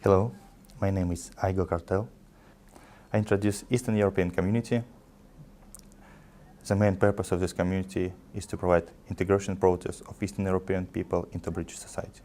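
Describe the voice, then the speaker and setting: A man with a very deep, dark voice speaks careful, formal English as a second language. His pitch stays flat, and there is faint room noise behind him. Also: a little fast. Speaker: community group representative.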